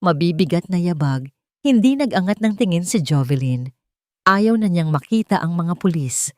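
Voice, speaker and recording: A Filipino-accented woman narrates a dramatic love story as a scripted audio tale. Her voice is low and mellow, and it sits dry in the recording with almost no room sound. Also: animated, moderate pace, no echo.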